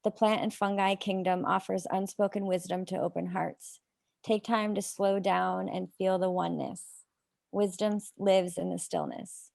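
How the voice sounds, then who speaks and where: A woman leads a ceremony in a measured, solemn voice with heavy vocal fry. Her delivery stays flat and even, and the sound is close and dry. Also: lowish voice, no room sound. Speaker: ceremony facilitator. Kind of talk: reading an invocation, then introducing a guest.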